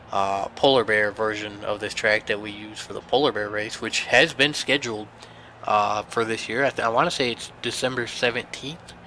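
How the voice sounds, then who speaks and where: A man's voice: mid-range voice, pushed and bright, a little hiss or hum. A young man commentating a sim race, congested from a sinus infection, chatty and rambling with many fillers. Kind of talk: sim racing broadcast commentary.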